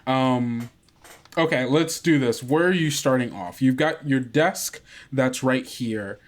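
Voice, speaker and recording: Game master narrating haltingly, thinking aloud. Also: medium pitch. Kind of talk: improvised tabletop game narration.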